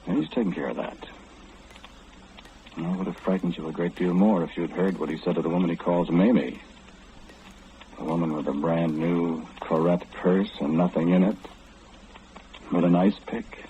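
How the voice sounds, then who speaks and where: A tinny, slightly noisy old radio horror recording carries an actor as an ominous man who seems to know everything. His voice is very low, and he delivers his warnings in an insinuating, deliberate way with theatrical pauses. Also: fairly even intonation, close to an everyday voice. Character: sinister all-knowing man whispering dread warnings to listener.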